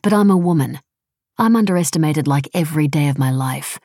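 A woman's voice: sits low, brisk, a little mellow, no room sound, clean studio sound. A woman voicing a defensive, scornful, foul-mouthed woman in an audiobook, blunt and sarcastic with casual phrasing. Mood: sardonic.

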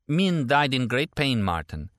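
Casting: tender, anxious, teasing woman for a novel male narrator acting her, raised pitch, lively inflection. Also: unhurried, no echo, studio quality. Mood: earnest, troubled. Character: frightened, teasing, tender American woman on a phone call.

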